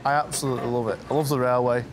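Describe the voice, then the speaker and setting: A man who works on railway carriages, talking casually and warmly in an interview and laughing easily. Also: mid-range voice, level tone, very fast, background noise. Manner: chatty, warm-hearted, laughs easily. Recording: interview with background music.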